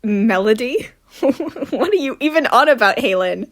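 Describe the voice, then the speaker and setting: A woman's voice: fairly high pitch, animated, a little slow. A woman playing a sardonic, grumbling teenage schoolgirl in a comic fandub, sarcastic and exclamatory. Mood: sarcastic, incredulous.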